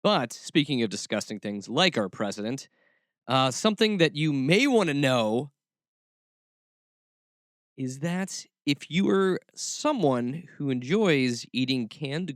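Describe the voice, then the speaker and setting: A man's voice: medium pitch, no room sound, studio quality. A man hosting a talk show, giving casual commentary peppered with wry, sarcastic asides. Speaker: podcast host.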